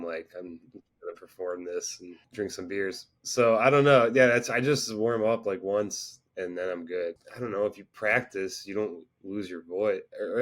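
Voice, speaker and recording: A young man, a band's singer, chatting casually in an interview, rambling with lots of fillers and self-deprecating asides. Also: deep voice. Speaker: band singer, interview guest.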